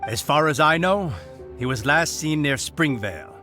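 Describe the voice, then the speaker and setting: A man's voice: on the high side, expressive, normal speed, pushed and bright, faint music behind. A man voicing an officious, formal knight who enforces the law in a video game, with a stiff, declamatory delivery. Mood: earnest explaining. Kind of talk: animated video game voice acting.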